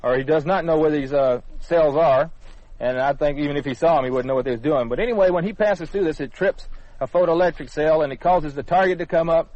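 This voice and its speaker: A man's voice: on the high side, a little fast, dry recording. Plain-spoken man, dull dry recording. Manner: plain-spoken, folksy phrasing. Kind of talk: informal technical explanation in an interview. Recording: dull, dry vintage radio interview recording.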